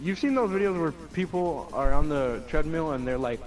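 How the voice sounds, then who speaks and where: A man's voice: on the high side, animated, normal speed, darkish tone, rough recording. Slangy young man over prominent music. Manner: slangy, profane, loose and rambling. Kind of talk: casual anecdote telling among friends.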